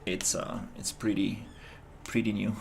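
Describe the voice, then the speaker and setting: Gravelly man thinking aloud. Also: fairly low pitch, flat delivery, a little slow, noisy background. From Costa Rica. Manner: thinking aloud, loose and rambling with many restarts. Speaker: indie game developer streaming his work. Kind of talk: casual livestream commentary while working.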